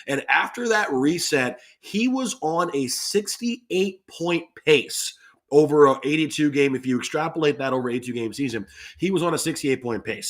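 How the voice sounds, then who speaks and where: A man's voice: medium pitch, fairly bright tone. Emphatic man talking sports. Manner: emphatic, playful salesman-like delivery. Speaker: guest, radio play-by-play announcer. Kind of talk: animated sports podcast talk.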